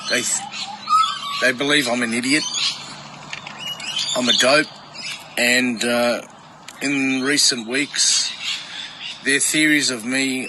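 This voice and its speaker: Halting man on a noisy amateur clip. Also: mid-range voice. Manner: halting, self-deprecating. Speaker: former protester making a social media apology. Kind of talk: personal confession to camera. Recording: clearly noisy amateur social media clip.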